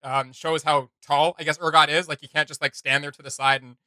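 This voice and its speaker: A man talking loosely through a reaction video he co-hosts, thinking aloud with frequent fillers and easy laughter. His voice is very bright and sits a little high for a man. The sound is dry and close. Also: level tone, brisk, dry recording. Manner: rambling, filler-heavy, laughs often. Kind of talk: casual reaction chat.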